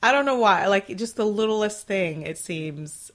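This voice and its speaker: A woman in her forties hosting a casual solo podcast from home, chatty and rambling, her voice still a little scratchy and clearing her throat after being sick. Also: medium pitch, animated, a little slow. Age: 40s. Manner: chatty, rambling, with fillers and soft laughs. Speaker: podcast host.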